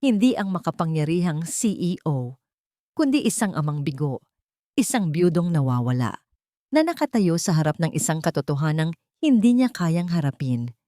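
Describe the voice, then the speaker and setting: A woman with a Filipino accent narrating a dramatic audiobook story in a measured, expressive way, phrase by phrase. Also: low voice, no echo, studio quality.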